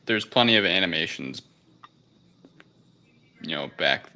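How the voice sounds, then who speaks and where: A man on a discussion panel giving casual review opinions in a hesitant, halting way. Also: sits low, expressive, bright, forceful tone. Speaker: podcast panelist.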